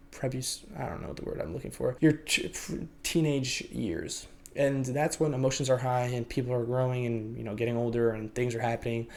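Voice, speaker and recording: A man's voice: mid-range voice, darkish tone. A young man whose first language is Lithuanian, raised in the United States, telling his own life story in a reflective, rambling way and often hedging as he searches for words. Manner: reflective, rambling, often hedges with "I would say" and "you know". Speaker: vlogger. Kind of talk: personal life story, unscripted.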